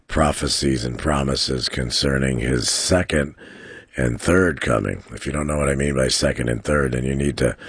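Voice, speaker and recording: A man hosting a live call-in radio show from a studio, trading dad jokes and talking faith and prophecy in a relaxed, jokey way. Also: extremely low pitch, husky, no room sound. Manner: jokey, chuckles at his own dad jokes. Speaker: radio talk show host. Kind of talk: casual radio banter and sermon-like talk.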